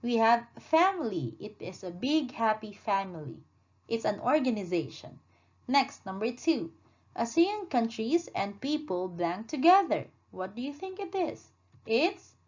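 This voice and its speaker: A woman teaching a lesson, speaking slowly, repeating words and correcting herself as she asks the class questions. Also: fairly high pitch, darkish tone, husky. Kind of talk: classroom lesson with read-aloud dialogue. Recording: dull lo-fi sound.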